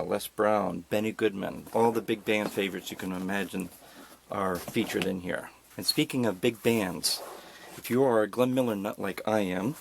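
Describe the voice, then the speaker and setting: A man's voice: fairly low pitch, vocal fry. A man casually narrating a home video tour as a collector, with wry, self-deprecating asides. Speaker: record collector presenting a collection. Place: indoors at home.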